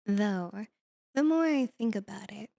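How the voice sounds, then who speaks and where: A woman's voice: mid-range voice, animated, unhurried, gravelly, warm tone, dry recording, studio quality. A woman voicing a teasing, confident succubus demoness in an ASMR roleplay, with a sultry, coaxing manner. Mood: coy, mocking.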